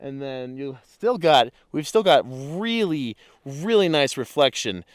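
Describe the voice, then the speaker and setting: A man photographing a calm mountain lake at dawn, explaining his camera settings in a relaxed way with many fillers while sounding congested from a cold. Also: mid-range voice, unhurried, dry recording, clean studio sound. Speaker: landscape photographer. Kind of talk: casual on-location vlog.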